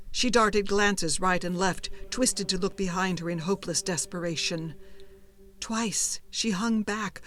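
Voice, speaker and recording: A woman reading a suspenseful horror story as an audiobook narrator, with expressive and deliberate delivery. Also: mid-range voice.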